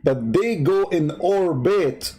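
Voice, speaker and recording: A man's voice: high-pitched, lively intonation, slow, mellow. A man hosting a polemical commentary, mocking and insulting in an emphatic way, who sometimes hums to himself. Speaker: debate host. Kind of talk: polemical commentary over a video.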